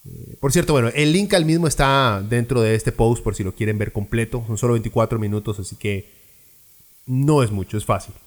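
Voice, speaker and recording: A man's voice: medium pitch, brisk. A man from Peru hosting a podcast, who delivers opinionated political commentary in a blunt, sarcastic way with frequent fillers. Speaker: podcast host.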